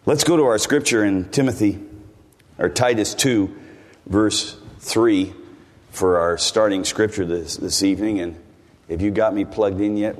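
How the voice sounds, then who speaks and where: A man's voice: lowish voice, a little mellow. An older American man preaching to a congregation in a folksy, rambling way, turning to the listeners with asides. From United States. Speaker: visiting preacher. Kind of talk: sermon. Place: church hall. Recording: long hall reverb.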